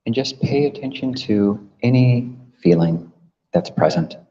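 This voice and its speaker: A man leading a guided meditation as a teacher, gently instructing with long pauses between phrases. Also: mid-range voice, lively intonation. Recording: muffled, long reverberant hall echo.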